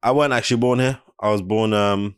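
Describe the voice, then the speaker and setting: A young man from Iraq with a London accent, telling stories in a relaxed, slangy podcast chat. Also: on the low side, quick pace, clean tone, no room sound, clean studio sound. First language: Arabic. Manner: laid-back, slangy storytelling. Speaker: podcast co-host. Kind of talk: casual chat.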